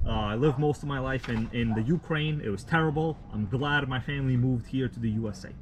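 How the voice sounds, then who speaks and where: A man from Russia, a native Russian speaker, hosting a casual live stream outdoors at night, rambling in a relaxed, slangy way with many fillers. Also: medium pitch, brisk, slight background noise, low-quality recording. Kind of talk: casual live stream chat, reading viewer comments.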